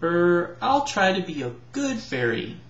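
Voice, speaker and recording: A man's voice: high-pitched, sing-song, measured pace, far from the mic, exaggerated voice. A man voicing a young newcomer fairy girl in a storybook, eager, polite and naive. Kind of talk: storybook character voice. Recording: roomy echo, slightly dull home recording.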